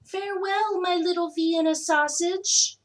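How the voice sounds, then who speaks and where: A woman's voice: very high voice, fairly even intonation, a little slow, a little hiss or hum, voice pushed up. A woman voicing a doting, proud mother dachshund in a storybook, calling out fondly in a sing-song way. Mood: cheerful, doting.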